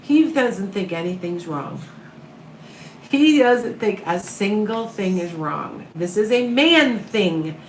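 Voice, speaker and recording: A woman from the American Midwest, with a Midwestern accent, reading tarot live and answering viewer questions in a calm, soothing, reassuring way. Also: medium pitch, takes it slowly, reverberant, slight background noise, low-quality recording. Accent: Upper Midwest American. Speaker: tarot reader and medium.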